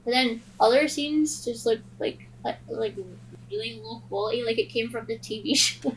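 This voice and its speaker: A woman's voice: a little high, moderate pace, a little hiss or hum, rough recording. A woman co-hosting a podcast in casual chat, hesitant and peppering her sentences with filler. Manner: hesitant, heavy use of "like". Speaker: podcast host.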